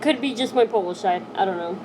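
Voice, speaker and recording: A young woman chatting casually on a live stream from home, rambling and filling every pause with "like". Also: mid-range voice, noisy background. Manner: rambling, heavy use of "like" fillers. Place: home, sitting on her knees.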